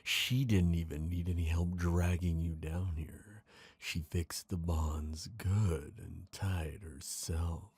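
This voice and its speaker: A man voicing a smooth, scheming traitor prince, gloating and seductive, in an intimate fantasy ASMR roleplay. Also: low-pitched, fairly even intonation, darkish tone, no room sound, exaggerated voice. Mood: smug, gloating. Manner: slow, intimate, theatrical villain delivery.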